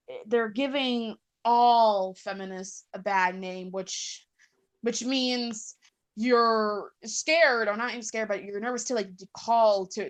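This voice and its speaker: Halting woman in casual debate. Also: on the high side. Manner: halting, repeats words and restarts sentences often. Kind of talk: casual opinionated discussion.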